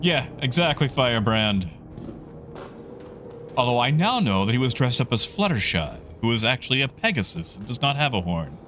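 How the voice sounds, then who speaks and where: A man with an average-pitched voice hosts a casual livestream. He rambles conversationally, turning to individual viewers as he goes. There is clear ambient noise behind his voice. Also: sing-song, moderate pace, fairly bright tone. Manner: rambling, conversational, addresses chatters by nickname. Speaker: livestream host.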